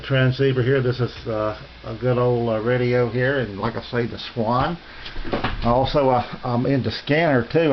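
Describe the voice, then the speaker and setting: Halting American man, dull lo-fi sound. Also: medium pitch. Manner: halting, rambling, folksy and polite. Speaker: amateur radio hobbyist giving a tour. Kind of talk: casual unscripted show-and-tell narration. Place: radio room at home. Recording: dull lo-fi home video, faint noise.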